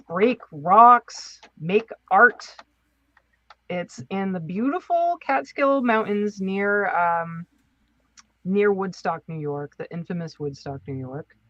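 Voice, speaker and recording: A woman chats as a podcast co-host, informal and enthusiastic. Her voice sits at a medium pitch, in a dry space with no room echo. The audio is a little dull and lo-fi. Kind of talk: casual podcast chat.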